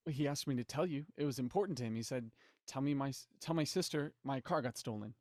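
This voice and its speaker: A man's voice: mid-range voice, quick pace, darkish tone, no echo, clean studio sound, natural voice. An actor playing an anxious, skeptical man who pleads and stammers in an audio drama. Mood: hesitant. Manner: hesitant, stammering.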